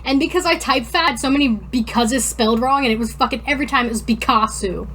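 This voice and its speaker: A woman's voice: on the high side, fast talker, a bit bright. A young woman co-hosting a podcast, chatting informally and giggly, with swearing and a casual, joking delivery. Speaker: podcast co-host. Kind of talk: casual banter and mock-dramatic reading of fan fiction.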